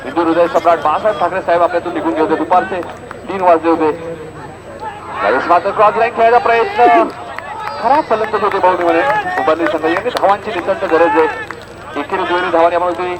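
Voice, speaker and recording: A man's voice: high voice, fairly even intonation, fast talker, crowd noise, noisy background. A man with a Maharashtrian accent giving live local cricket commentary, repeating score lines and dropping chatty asides to listeners. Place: outdoors at a cricket ground.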